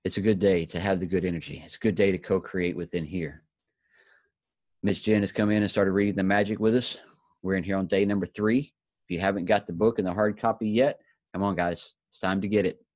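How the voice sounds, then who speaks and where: A man with a fairly low voice hosts a motivational group talk. He addresses listeners directly and urges them on in plain, informal words. The sound is dry, with very little room in it. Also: fairly even intonation, a little fast, a little mellow, no echo. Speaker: host of a motivational group session.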